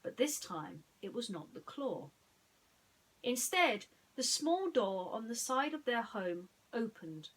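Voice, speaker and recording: A woman tells a bedtime story at a very unhurried pace. Her voice sits fairly high and moves with plenty of lilt. She often turns to the listener with a question. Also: very animated, slightly rough, poor audio. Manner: addresses listeners with rhetorical questions. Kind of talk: bedtime story narration with chatty introduction.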